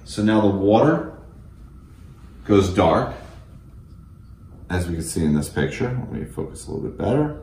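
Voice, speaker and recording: A man's voice: deep voice, very animated, moderate pace, pauses often, a little mellow, slight background noise. A middle-aged American man giving a technical product demonstration and explanation as a company representative in an office. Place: indoors in a small office meeting room. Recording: echoey room.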